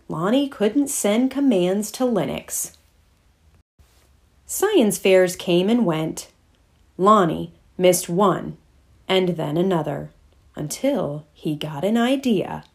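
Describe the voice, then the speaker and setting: A middle-aged woman narrates a children's picture book. Her pace is slow, with deliberate pauses between phrases. Her medium-pitched voice has a teacherly way of setting out each phrase. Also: darkish tone, breathy edge. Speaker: children's read-aloud host. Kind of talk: storybook read-aloud narration for children.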